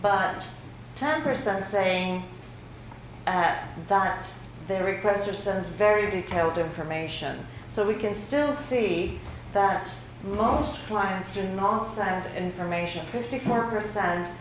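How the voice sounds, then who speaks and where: A woman's voice: mid-range voice, slow. A woman whose first language is Greek, giving a workshop lecture on survey results in a casual, conversational way with many fillers. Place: university lecture room. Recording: very echoey room with faint noise.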